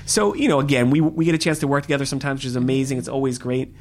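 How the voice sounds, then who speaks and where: A fast-talking American man hosts a casual interview podcast. He is informal and bantering, with a mid-pitched voice and faint noise behind it. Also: fairly even intonation. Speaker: podcast host.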